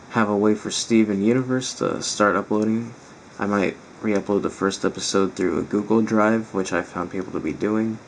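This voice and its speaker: A man talks casually to his viewers as a reaction host, rambling informally. His voice is strained and raspy, on the low side with a lot of creak, over slight noise. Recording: faint background noise.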